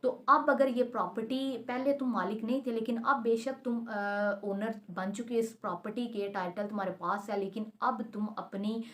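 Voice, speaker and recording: A woman explaining law as a teacher, mixing in English terms and reading quoted passages slowly and deliberately. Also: a little high, steady tone, fairly bright tone. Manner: switches between Urdu-Hindi and English terms, slow deliberate reading of quotations.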